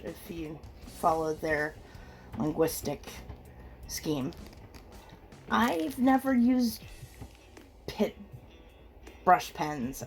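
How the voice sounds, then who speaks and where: A woman with a slightly rough, under-the-weather voice, giving a chatty, casual unboxing commentary as host of an art channel. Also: medium pitch, darkish tone. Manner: chatty, reacts aloud with small exclamations. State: rough voice from being ill.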